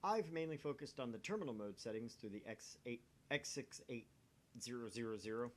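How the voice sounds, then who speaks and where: A man's voice: medium pitch, animated, fairly quick. A man who hosts gaming videos, reading a story aloud with casual banter in between.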